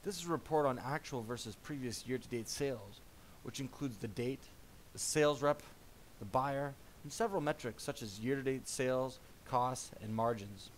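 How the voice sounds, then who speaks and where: Man narrating a software demo, faint hiss. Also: mid-range voice, rough recording. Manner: measured explanatory presenter tone.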